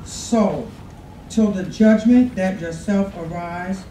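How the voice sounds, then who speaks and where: A woman's voice: medium pitch, slow, mellow. A woman reading a sonnet aloud at a contest podium. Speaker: poetry contest reader. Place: college classroom, at a podium. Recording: echoey noisy room, distant mic.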